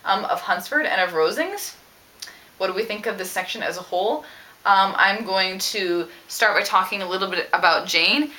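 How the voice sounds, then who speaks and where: A woman talking casually about a book as a vlogger, with an animated, emphatic and opinionated way of speaking. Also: medium pitch, poor audio. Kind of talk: casual book discussion to camera.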